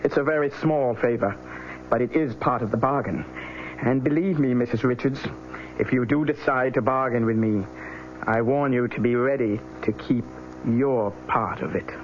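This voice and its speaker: An actor in a radio drama playing an ominous fortune teller driving a bargain, measured, formal and warning. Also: male voice, mid-range voice, natural voice. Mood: ominous, insistent. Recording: dull, noisy, humming radio recording.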